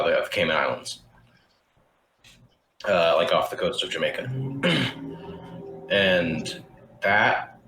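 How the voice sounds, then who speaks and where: Low-voiced rambling young man, echoey room. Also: sharp tone, slight background noise. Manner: rambling, many restarts and fillers, casual swearing. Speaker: podcast host. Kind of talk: casual storytelling.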